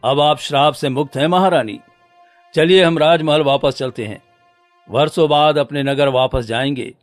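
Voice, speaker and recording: A man's voice: on the high side, normal speed, dry recording, faint music behind, natural voice. A man playing a kind, courteous fairy-tale king in a cartoon, speaking in a formal and caring manner. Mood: gracious.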